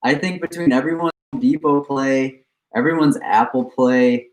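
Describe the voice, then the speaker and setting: Slow-talking nasal young man. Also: mid-range voice, low-quality recording. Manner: laid-back, upbeat, chatting about trades between songs. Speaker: options trading streamer. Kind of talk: casual live-stream chat.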